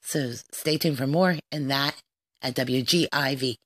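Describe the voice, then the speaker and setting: A woman's voice: very deep voice, unhurried, a bit bright. A woman hosting a radio show in an informal, somewhat hesitant style, often searching for words.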